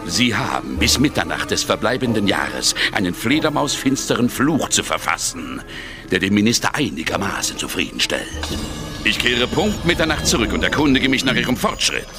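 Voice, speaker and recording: An actor playing a menacing, coldly polite envoy of darkness, formal and stern, in a children's fantasy audio drama. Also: male voice, lowish voice, big pitch swings, normal speed, music in the background. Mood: threatening.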